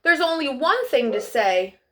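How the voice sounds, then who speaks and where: A woman's voice: high voice, very animated, a little slow, sharp tone, voice pushed up, full character voice. A woman voicing a friendly little girl fish in a storybook, childlike, eager and cheerful.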